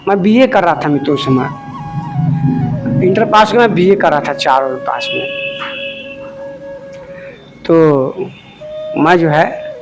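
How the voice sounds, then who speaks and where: A man's voice: sits high, fairly bright tone, low-quality recording. A middle-aged man who explains devotional songs in an informal, rambling talk, often repeating himself and turning to his listeners as friends.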